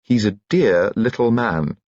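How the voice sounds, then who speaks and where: A man's voice: on the low side, sing-song, vocal fry, a little mellow, slightly rough, no room sound, highs cut off, voice pushed up, exaggerated voice. A man voicing a spirited, teasing elderly society mother who talks emphatically. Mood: playful. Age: older. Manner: brisk, emphatic, playful.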